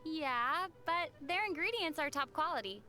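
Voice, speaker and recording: A woman playing a young, easygoing, gently teasing traveler in a video game. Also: high-pitched, lively intonation, normal speed, faint music behind. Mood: amused.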